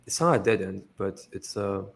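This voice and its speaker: A man's voice: deep voice, expressive, moderate pace, gravelly. A young man from Iraq, talking casually as an interview guest, rambling with lots of fillers and swearing freely. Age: young adult.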